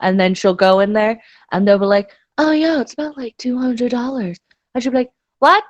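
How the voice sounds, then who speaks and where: A woman's voice: fairly high pitch, brisk, no echo, muffled recording. A woman chatting casually with friends, laughing often and trying out silly phrases. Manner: giggly, puts on mock Spanish phrases. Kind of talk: casual banter.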